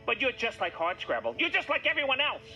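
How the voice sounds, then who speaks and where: A man voicing a small, feisty monster in an animated story, earnest and emphatic in his delivery. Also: very high-pitched, fast, creaky, highs cut off, full character voice. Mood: hurt, angry. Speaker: character voice in read-along story. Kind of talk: animated film dialogue. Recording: loud music under the voice.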